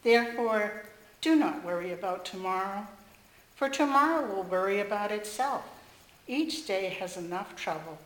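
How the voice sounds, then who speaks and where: Female lay reader, strained voice, measured scripture reading, echoey room. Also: mid-range voice, lively intonation, fairly bright tone. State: strained voice after losing it earlier. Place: church sanctuary.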